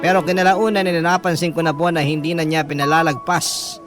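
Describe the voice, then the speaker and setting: A man's voice: fairly high pitch, level tone, a little fast. A man narrating a listener's confessional love letter in the first person as a scripted radio drama narrator. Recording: music bed under the voice.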